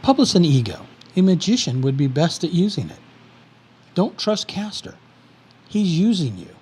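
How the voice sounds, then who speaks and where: A man playing Paul, a controlling husky spymaster. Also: high voice, big pitch swings, moderate pace, darkish tone, full character voice. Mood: warning. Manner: condescending, insistent. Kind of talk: audio drama acting.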